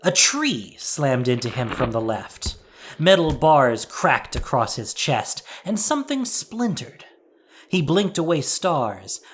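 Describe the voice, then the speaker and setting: A man's voice: fairly high pitch, a bit bright, breathy edge. A man narrating a scripted audio drama in a dramatic storytelling style.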